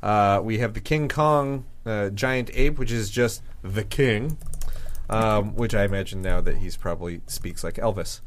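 A man's voice: lowish voice, unhurried. A man co-hosting a podcast in casual chat, easygoing as he explains the rules of a board game. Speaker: podcast co-host.

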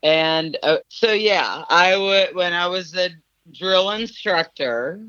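A woman on a dull phone line talks slowly in a bright, forceful voice. She is a podcast guest from the United States, chatting in a salty, informal way. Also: on the low side, dry recording. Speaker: podcast guest, former military drill instructor. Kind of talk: casual phone chat.

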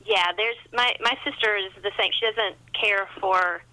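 Female interview guest, author type. Very bright, forward voice, chatty and conversational, self-deprecating. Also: medium pitch, moderate pace, low-quality recording.

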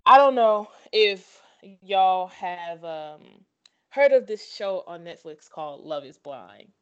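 A woman's voice: fairly low pitch, lively intonation, slow, a bit bright, clear, resonant tone, dull audio. A woman co-host in casual sports chat, opinionated and repeating herself for emphasis.

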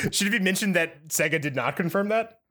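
Low-key man giving dry replies. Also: expressive, moderate pace, bright, forceful tone. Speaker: podcast editor and co-host. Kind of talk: casual chat.

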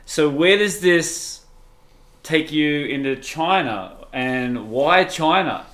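A man interviewing a guest, asking questions in a soft voice and agreeing often with short replies. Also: fairly high pitch, animated, takes it slowly. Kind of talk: interview questions and brief backchannel.

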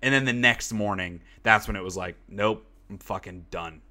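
A man's voice: lowish voice, moderate pace, pushed and bright. A young man hosting a casual podcast, congested from a cold and rambling with lots of fillers. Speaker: podcast host. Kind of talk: casual chat.

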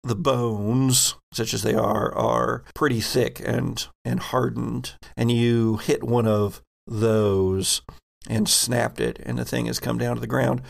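A man works as game master for a tabletop role-playing game. His delivery is slow and halting, with a creaky edge to the voice and a descriptive narrating style. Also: mid-range voice, fairly even intonation.

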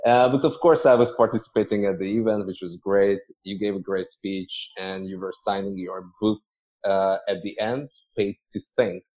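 A man from Hungary, telling a personal story conversationally as a podcast guest, with measured, hesitant phrasing. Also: fairly low pitch, fairly even intonation, a little mellow, lo-fi sound.

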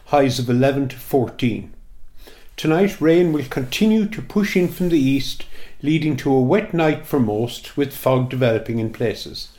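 A man's voice: mid-range voice, normal speed, darkish tone. An Irish man reading a scripted weather forecast for community radio. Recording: roomy, echoing sound.

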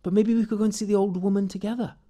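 A man voicing a clever young village wife who answers calmly and plainly. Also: sits high, rapid-fire, pitched above the performer's own voice, lightly acted. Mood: coaxing.

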